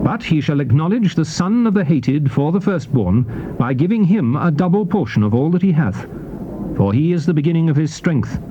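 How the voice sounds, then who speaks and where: A British man narrating scripture in a measured, formal, declamatory style on a dull, noisy old cassette recording. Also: fairly high pitch, dark tone. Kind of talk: solemn dramatized Bible reading.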